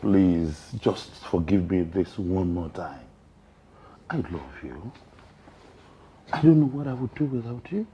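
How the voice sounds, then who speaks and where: Guy doing a desperate husband pleading, voice swinging all over, slow, music loud. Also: low-pitched, expressive, slight background noise. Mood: remorseful. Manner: begging, desperate. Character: remorseful violent husband begging forgiveness. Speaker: abusive husband. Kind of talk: melodrama acting.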